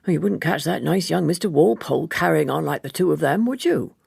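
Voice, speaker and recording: A woman reader puts on a sniffy English housekeeper from a period novel, a woman who gossips with pointed disapproval. Her voice is husky and creaky, her phrasing huffy and colloquial. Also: sits low, animated, a little fast, darkish tone, no echo, studio quality, exaggerated voice. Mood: disapproving, gossipy.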